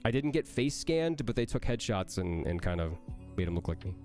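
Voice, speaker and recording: A man's voice: on the low side, very animated, a little fast, a little mellow, faint music behind. A young man, a voice actor, reading out and answering chat questions on a livestream, with a nasal voice that sounds like he has a cold, playful and joking.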